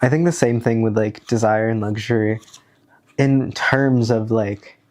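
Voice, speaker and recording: A man's voice: fairly low pitch, unhurried, a little mellow. A young man with a cold and a stuffy, nasal voice, rambling through a self-help worksheet in a casual vlog. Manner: rambling, hesitant, many fillers. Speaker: vlogger. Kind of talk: casual chat, reading worksheet aloud.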